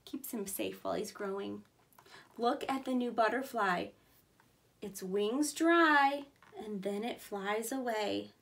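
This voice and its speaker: A woman in her thirties, a preschool teacher reading aloud to young children in simple, clearly emphasized words. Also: mid-range voice, slow pace, a bit bright.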